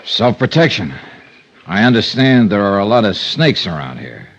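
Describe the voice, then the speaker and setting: An actor playing a hard-boiled, dryly sardonic American investigator, performing and narrating a vintage radio detective drama. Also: male voice, on the low side, big pitch swings, normal speed, a bit bright, a little hiss or hum. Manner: dry, sardonic. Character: wisecracking hard-boiled freelance insurance investigator, cool under threat. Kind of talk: 1950s American radio drama acting and first-person narration. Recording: muffled old radio recording.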